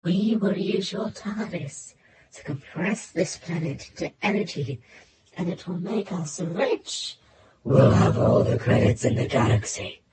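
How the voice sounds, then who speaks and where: Slow, creaky, greedy alien villain. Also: male voice, fairly high pitch, expressive, echoey room, heavy background noise, voice pushed up. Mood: greedy menace. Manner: slow, drawn-out, threatening delivery. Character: menacing greedy alien Blathereen hijacker, gleeful bully. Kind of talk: audio drama villain voice.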